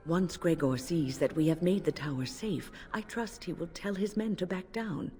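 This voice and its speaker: An actress as a low-voiced, stern elder woman mage. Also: dark tone, soft background music, natural voice. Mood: grave, questioning. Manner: measured, formal, grave. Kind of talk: video game voice acting. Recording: long hall reverb on voice.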